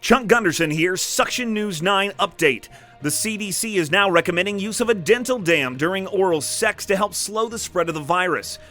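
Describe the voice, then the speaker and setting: A man's voice: high-pitched, animated, moderate pace, sharp tone, faint music behind. A man playing a brash, comically serious TV news anchor in a parody bulletin, with punchy announcer delivery. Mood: brisk, mock-serious.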